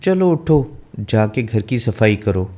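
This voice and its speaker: आदमी की आवाज़ में हुक्म चलाती लालची सौतेली माँ. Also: male voice, lowish voice, sing-song, takes it slowly, warm tone. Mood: bossy. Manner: commanding, scornful. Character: cruel, bossy, greedy stepmother.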